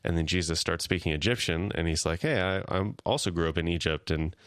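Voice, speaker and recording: A man co-hosting a podcast, chatting casually and retelling scenes with quick paraphrased lines of dialogue. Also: deep voice, fairly bright tone, clear, resonant tone, no echo, clean studio sound. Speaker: podcast co-host. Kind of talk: casual chat.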